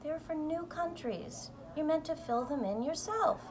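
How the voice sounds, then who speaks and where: A woman's voice: sits high, unhurried, pitched above the performer's own voice. Young male storybook pilot played by a female reader, fearless, cheerful, breezy, on a noisy recording.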